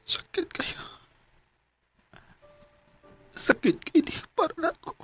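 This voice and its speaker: A man with a Cebuano Filipino accent acting an anguished young husband and father in a radio drama, with a husky voice. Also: normal speed, a bit bright, soft background music, natural voice. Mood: grieving, hushed.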